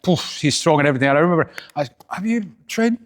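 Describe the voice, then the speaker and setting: A man's voice: fairly high pitch, lively intonation, quick pace. A man hosting a podcast, asking friendly, chatty questions with lots of fillers. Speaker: podcast host. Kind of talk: casual interview.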